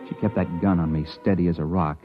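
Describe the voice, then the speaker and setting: An actor playing a wary investigator in a 1950s radio drama, in a hard-boiled, uneasy manner. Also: male voice, low-pitched, fairly even intonation, fairly quick, natural voice. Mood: tense narration. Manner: hard-boiled storytelling, uneasy protests when speaking. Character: wary, rattled male investigator narrating his own ordeal. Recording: muffled 1950s radio recording with music.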